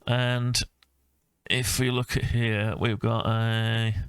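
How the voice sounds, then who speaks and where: Bloke doing a casual design walkthrough, kinda monotone, halting as he thinks, dry close sound. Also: mid-range voice, clean tone, no room sound. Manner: thinking aloud, hesitant, halting mid-phrase. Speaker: hobbyist maker presenting own design project. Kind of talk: informal screen-recorded CAD design walkthrough.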